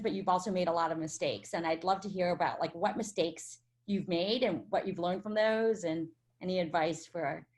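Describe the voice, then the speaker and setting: A woman in her forties, born in Vietnam, hosting an online panel as a venture capital partner, talking casually with many fillers and restarts. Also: medium pitch.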